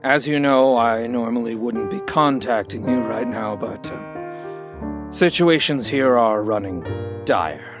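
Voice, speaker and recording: A man playing a suave, sinister doctor in a horror audio drama, with a theatrical, mock-polite and unctuous manner. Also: medium pitch. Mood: ominous politeness. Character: suave, sinister male doctor of a grim facility. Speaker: doctor at a psychiatric facility.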